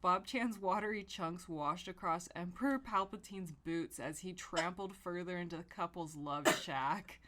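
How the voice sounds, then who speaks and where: A woman podcast host reading comic fan fiction aloud and chatting casually, expressive and foul-mouthed. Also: fairly low pitch, a little slow, hardly any pauses, slight background noise.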